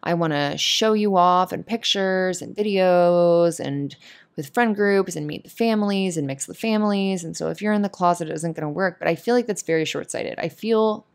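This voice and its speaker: A woman giving casual, comforting advice in a monologue, speaking in an earnest, opinionated and emphatic way. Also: on the low side. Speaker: voice actor giving advice to a listener.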